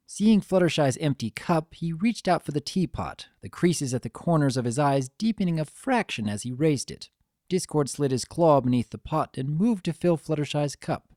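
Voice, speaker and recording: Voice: mid-range voice, warm tone, dry recording, clean studio sound. A narrator giving a dramatic reading of a story in a scripted storytelling style.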